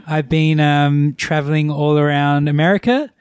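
A man from Australia hosts a casual podcast chat in a slightly higher voice. His talk is laid-back and halting, full of ums and laughter. The recording is dry and close to the mic. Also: fairly even intonation, a little mellow, clean tone, no echo. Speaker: podcast host.

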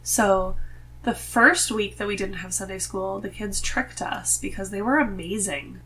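A woman's voice: medium pitch, unhurried, pushed and bright, breathy edge, low-quality recording. A woman talking as a podcast guest in a casual, conversational way. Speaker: podcast guest, host's wife.

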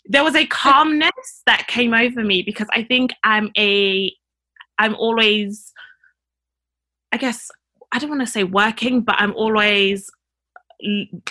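Guest voice: woman, bright clear tone, relaxed and chatty, quick to laugh. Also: fairly high pitch. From Nigeria (family), raised in the UK. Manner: warm, laughs often, easygoing. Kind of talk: casual chat.

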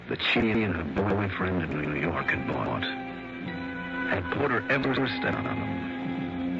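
An actor playing a sober, sympathetic investigator, narrating a 1960s radio detective drama in a measured, deliberate way. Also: male voice, low-pitched, gravelly, full character voice. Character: sober, sympathetic male investigator recounting his case. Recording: dull old radio broadcast recording.

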